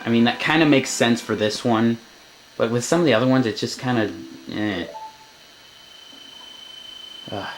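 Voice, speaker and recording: A man's voice: mid-range voice, moderate pace, pauses often, poor audio. A man doing casual, joking gameplay commentary, grumbling sarcastically and reading on-screen text aloud. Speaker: let's play commentator. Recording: game music behind the voice. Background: video game music.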